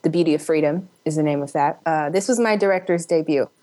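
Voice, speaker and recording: Female interview guest, lower-pitched voice, upbeat and conversational. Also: quick pace, warm tone. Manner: upbeat, chuckles while talking. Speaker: interview guest, actor and film director. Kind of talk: casual interview chat.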